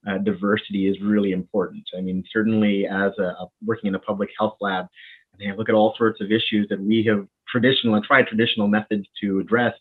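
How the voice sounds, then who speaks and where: A man with a Winnipeg accent and a fairly low voice talks as a doctor in a relaxed interview. He sounds friendly and animated, and he often repeats words as he gets going. The sound comes over a thin, tinny line, as if through a phone. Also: fast talker, breathy edge. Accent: Canadian, Winnipeg. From Bahamas. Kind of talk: casual interview conversation.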